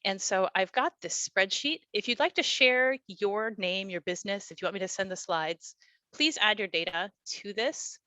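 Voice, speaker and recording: A woman's voice: medium pitch, moderate pace, pushed and bright, no echo. A woman teaching an online class, talking in an encouraging, conversational way with many fillers over a video call. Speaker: class instructor.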